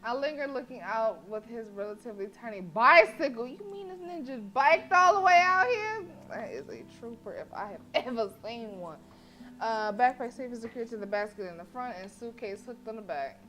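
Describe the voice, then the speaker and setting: A woman's voice: a little high, lively intonation, moderate pace, bright, forceful tone. A woman livestreaming a visual novel, reading it aloud with playful, slangy asides. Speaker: video game streamer. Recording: music playing behind the voice. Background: game music.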